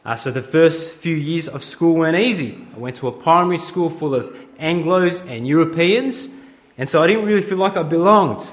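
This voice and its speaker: High-voiced Australian pastor preaching. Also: male voice, lo-fi sound. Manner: conversational, asks the congregation direct questions. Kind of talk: sermon. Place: church.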